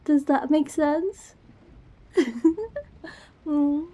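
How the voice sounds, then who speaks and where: A woman's voice: high voice, level tone, extremely slow, mellow. A woman playing a goofy, affectionate young girlfriend in an intimate ASMR roleplay, with a playful, teasing manner. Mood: casual, explaining.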